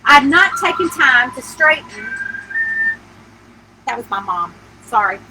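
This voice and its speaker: A woman with a high, bright voice reads a first-person story in an expressive bedtime-reading style. She acts out the dialogue as she goes. There is faint noise behind her. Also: takes it slowly, low-quality recording. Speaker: mystery author reading her own book.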